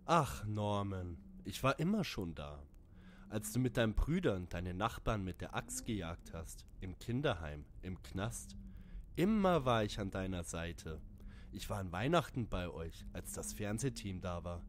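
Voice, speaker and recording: Man playing crazed male fan, menacing, theatrical, slow, swooping intonation, parody radio play. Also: low voice, sing-song, slight background noise. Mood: creepy, menacing. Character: obsessed deranged male fan in a wheelchair, menacing. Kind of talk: audio drama parody acting.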